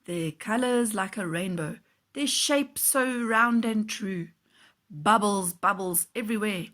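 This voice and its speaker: A woman teacher reading a children's story aloud in an expressive, deliberate storytelling way. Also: mid-range voice.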